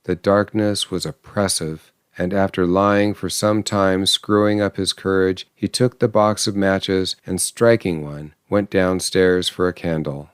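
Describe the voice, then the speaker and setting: A man's voice: low voice, steady tone, measured pace, hardly any pauses, smooth, dry recording, clean studio sound. A man narrating an audiobook as dramatic, scripted storytelling.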